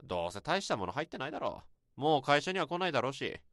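An actor playing a blunt senior office worker who talks roughly and lectures his juniors, in a radio drama. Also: medium pitch, sing-song, racing, fairly bright tone, no room sound, studio quality. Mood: brusque. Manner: rough, bossy, lecturing speech. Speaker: senior coworker.

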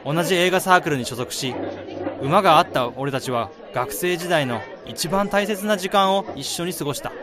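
A man's voice: a little high, animated, moderate pace, natural voice. A man acting in a radio drama as an easygoing married friend of a groom, around thirty, casual and bantering. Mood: wistful narration. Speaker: lead actor and narrator. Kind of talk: radio drama acting with first-person narration. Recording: busy crowd noise behind the voice.

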